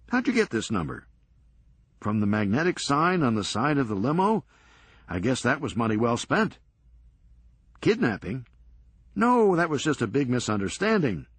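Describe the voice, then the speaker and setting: A man voicing a cool-headed, reckless ringleader in a crime story, brisk and unbothered. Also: mid-range voice, slightly rough, dry recording, pitched above the performer's own voice. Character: unflappable, cheerfully reckless male ringleader.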